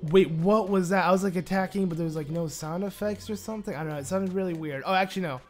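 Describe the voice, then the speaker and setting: A hoarse man talks his way through a video game in a loose, rambling way, full of "like" and "uh." His sore throat leaves his voice raspy and prone to cracking, pitched a little high for a man. Faint music plays underneath. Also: fast talker. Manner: rambling, lots of "like" and "uh". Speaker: Let's Play commentator. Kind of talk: casual gameplay commentary.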